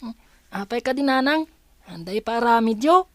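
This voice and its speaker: Carefree Ilocano village boy, played by a woman, highish. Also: lively intonation, moderate pace, no room sound, close to an everyday voice. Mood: playful. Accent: Ilocano Filipino. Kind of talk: Filipino radio drama acting.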